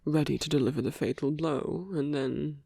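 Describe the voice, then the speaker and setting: A seductive, smug villain acted out by an ASMR performer speaks close and dry, with plenty of gravelly fry. The delivery is intimate and teasing, drifting between a breathy murmur and whispers, as in a romantic audio roleplay. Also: medium pitch, fairly even intonation, normal speed, warm tone, dry recording. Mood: amused, mocking. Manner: intimate, teasing, drawling, often dropping to whispers.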